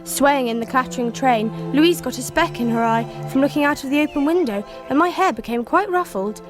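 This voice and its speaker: A woman plays a young Victorian English girl, spirited and anxious, in a radio play. Her voice is very high and expressive, with music in the recording. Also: brisk, close to an everyday voice. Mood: narrating. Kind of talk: 1990s radio drama acting and narration.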